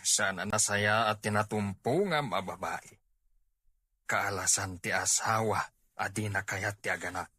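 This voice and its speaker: Man playing husky, low-voiced Filipino family father. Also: measured pace, sharp tone, dry recording, clean studio sound, heavily acted. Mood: disapproving. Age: older. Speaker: actor in an audio drama. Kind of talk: comedy-drama acting.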